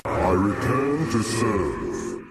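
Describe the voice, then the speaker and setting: A man's voice: fairly low pitch, wildly swooping pitch, very slow, gravelly, exaggerated voice. A man voicing an ancient alien prophet spirit in a video game, solemn and foreboding, delivering oracular pronouncements. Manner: portentous, oracular. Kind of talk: dramatic video game voice acting. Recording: echoing voice over loud ambient noise.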